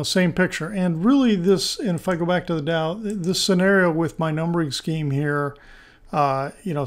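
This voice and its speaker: A man with a hoarse voice giving informal stock market commentary as host, thinking aloud in a halting, conversational way. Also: fairly high pitch. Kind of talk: informal technical analysis commentary.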